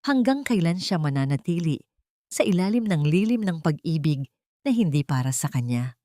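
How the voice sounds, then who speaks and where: A woman's voice: low-pitched, big pitch swings, moderate pace, warm tone, no room sound, clean studio sound. A woman narrator reading a scripted dramatic audio story in a solemn, emotive way.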